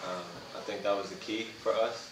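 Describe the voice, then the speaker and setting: A basketball player answering postgame questions in a relaxed way, with lots of fillers. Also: male voice, fairly low pitch. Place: echoey press room. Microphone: distant room mic. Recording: echoey room audio from far off with noise.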